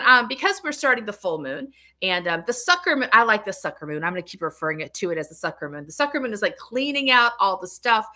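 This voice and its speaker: A woman's voice: mid-range voice, pushed and bright. A woman hosting a casual livestream as a tarot reader, chatting to viewers in a rambling, self-interrupting way.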